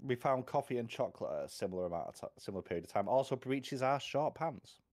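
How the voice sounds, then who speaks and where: A man's voice: mid-range voice, expressive, quick pace, darkish tone. A British man co-hosting a read-along stream, chatting casually with dry, teasing corrections. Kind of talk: casual banter.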